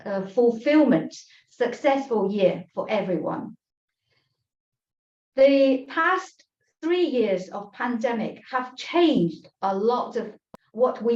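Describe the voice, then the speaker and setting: A woman whose first language is Chinese, giving an earnest online tai chi lecture in English, measured, with frequent pauses and restarts. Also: fairly high pitch, a little mellow. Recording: roomy, distant, dull lo-fi sound.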